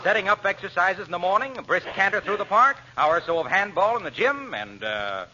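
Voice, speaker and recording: An actor playing a hearty, upbeat, glad-handing friend in jovial 1940s radio comedy style. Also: male voice, sits high, very animated, fairly quick, sharp tone, full character voice. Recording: muffled vintage radio, faint noise.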